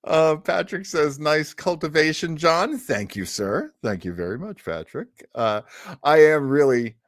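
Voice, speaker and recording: A man's voice: a little high, expressive, unhurried, dry recording, studio quality. An older man hosting an old time radio show, chatting casually with live-stream listeners in a rambling, enthusiastic way.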